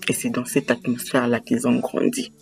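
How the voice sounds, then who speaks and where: A man's voice: medium pitch, monotone, brisk, gravelly, faint music behind. A young man, speaking emphatically and repeating phrases for weight in a passionate talk. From Republic of the Congo. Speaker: host. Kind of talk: impassioned monologue on language and culture.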